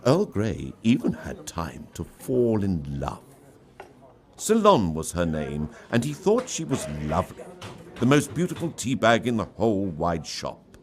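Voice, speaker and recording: Male storyteller, low register, unhurried pace, theatrical and expressive narration. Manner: theatrical, dramatic delivery. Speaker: story reader.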